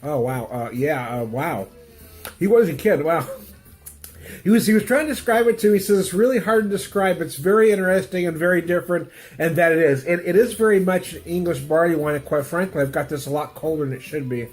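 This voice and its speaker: A man's voice: fairly high pitch, a little fast, faint music behind. Stuffy-nosed man rambling through a beer review. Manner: rambling, self-interrupting, chuckles often. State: stuffy nose from a cold. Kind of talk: casual beer tasting review. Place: home room with music playing.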